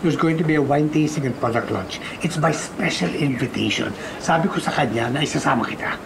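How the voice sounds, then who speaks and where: A pushy, upbeat dealmaker. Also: male voice, on the high side, quick pace, gravelly, noisy background. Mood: persuasive. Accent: Filipino. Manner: pushy, upbeat, mixes English and Tagalog. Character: eager, persuasive male dealmaker friend. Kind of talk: sitcom acting.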